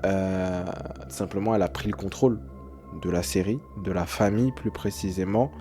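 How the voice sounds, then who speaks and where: A man's voice: low voice, moderate pace, dark tone, faint music behind. A man giving casual reaction commentary as a streamer, hesitant and often repeating his words. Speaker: streamer reacting to horror videos.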